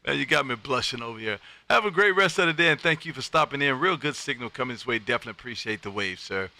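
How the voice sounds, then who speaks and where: American male ham radio host, folksy and effusive, very bright forceful tone with some gravel. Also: mid-range voice, animated, fast talker. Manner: folksy, effusive, peppers talk with friendly address. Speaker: amateur radio operator and livestream host. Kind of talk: casual ham radio chat.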